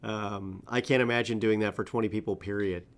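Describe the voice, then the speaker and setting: A man's voice: fairly low pitch, steady tone, a little fast. An American man hosting an interview, asking questions with interest and a few hesitant fillers. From United States. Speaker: podcast host. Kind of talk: casual interview.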